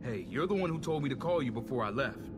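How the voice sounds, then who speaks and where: A man's voice: medium pitch, animated, quick pace, background noise, lightly acted. A man playing a savvy, self-interested tech operative in a video game, talking in a wry, slangy, casual way. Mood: businesslike. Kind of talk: video game cutscene acting.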